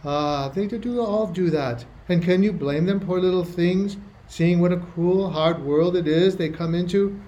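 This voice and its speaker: Man playing a high-voiced, motherly cottage housewife. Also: a little slow, few pauses, warm tone, dull audio. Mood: cosy, fussing. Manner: homely, fussing, folksy dialect. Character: kindly, chatty, motherly rustic cottage housewife. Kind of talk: amateur radio play acting.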